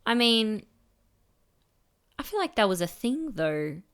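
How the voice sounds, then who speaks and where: A woman's voice: on the high side, sing-song, slow pace, a bit bright, smooth. A young woman with an Australian accent, co-hosting a podcast in casual, playful chat full of jokey asides. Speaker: podcast co-host.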